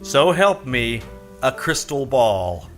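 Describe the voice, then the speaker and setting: An actor playing a cynical, wisecracking private detective in an old-time radio noir drama, casual and sardonic. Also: male voice, medium pitch, very animated, slow, over music. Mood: noir narration.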